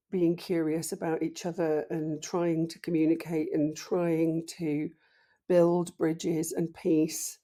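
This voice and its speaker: A deep-voiced woman in her fifties speaks as a podcast guest. Her tone is mellow and dark, and she takes her time, weighing each thought slowly. She sits low in pitch for a woman. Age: 50s. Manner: slow, thoughtful, reflective. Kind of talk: casual interview talk.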